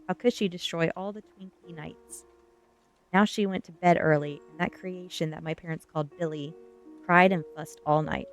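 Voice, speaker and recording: Low-voiced woman reading a story aloud. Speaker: streamer reading a novel aloud. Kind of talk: ASMR reading, first-person storybook narration.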